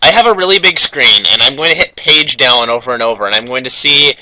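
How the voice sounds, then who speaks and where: A man's voice: mid-range voice, expressive, fast, bright, forceful tone, no room sound, poor audio. A man in a casual group chat, giving blunt, sweary commentary as co-host of a fanfiction reading. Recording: faint background noise and clicks.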